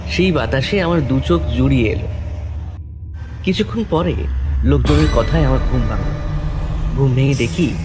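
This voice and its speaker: A man narrating a scripted first-person horror story. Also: mid-range voice. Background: music.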